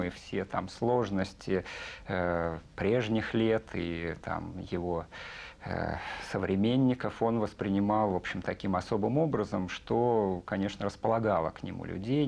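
A man's voice: low voice, creaky, a little mellow. A middle-aged man reminiscing in a documentary interview, with hesitant, broken phrasing. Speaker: film director and artist, interviewee. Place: indoor studio among film sets. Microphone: lapel.

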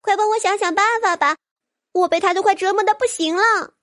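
A woman voicing a lively, easily exasperated young female sprite with pouty, girlish delivery in an audiobook. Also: very high voice, fairly quick, no room sound, studio quality, voice pushed up. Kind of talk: audiobook character voice.

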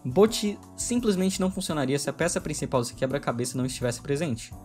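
Male video essay narrator, brisk and wry, soft music bed underneath. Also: fairly high pitch, lively intonation. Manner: brisk, opinionated, wry delivery.

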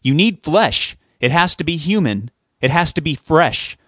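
A man's voice: a little high, animated, unhurried, no room sound, studio quality, voice pushed up. A man acting an outraged, hot-tempered older husband. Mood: adamant, alarmed. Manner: yelling, indignant, adamant.

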